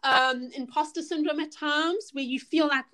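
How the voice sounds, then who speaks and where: High-voiced South African woman, chatty. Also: steady tone, normal speed, fairly bright tone. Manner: chatty and earnest, with many restarts and fillers. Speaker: guest, personal trainer and motivational speaker. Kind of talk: casual podcast interview.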